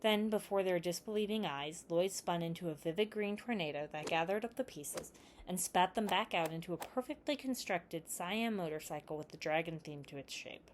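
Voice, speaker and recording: Low-pitched woman reading a story aloud. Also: moderate pace, slight background noise. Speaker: fan fiction reader. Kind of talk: scripted story narration with acted dialogue.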